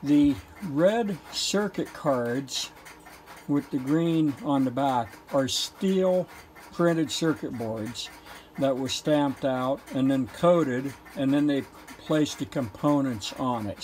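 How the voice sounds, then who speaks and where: A man giving an informal technical show-and-tell in a matter-of-fact, halting, explanatory way. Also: a little high, darkish tone, rough recording. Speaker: presenter, telephone equipment collector.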